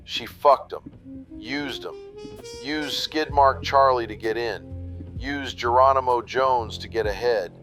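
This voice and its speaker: Cynical, half-amused veteran wrestler. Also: male voice, slow pace, a bit bright, faint music behind. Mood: bitter, half amused. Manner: low-key, bitter, insinuating. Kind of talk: noir audio drama monologue.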